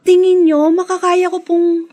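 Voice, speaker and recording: An actress playing a naive, eager young dancer in a radio drama, polite, wide-eyed and hesitant. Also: female voice, very high-pitched, flat delivery, a little slow, mellow, faint music behind. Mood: nervous.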